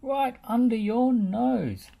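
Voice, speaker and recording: A man's voice: extremely high pitch, slow pace, creaky, mellow, voice pushed up, exaggerated voice. A man voicing an eager, helpful talking digger in a children's storybook, exclaiming with enthusiasm. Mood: insistent.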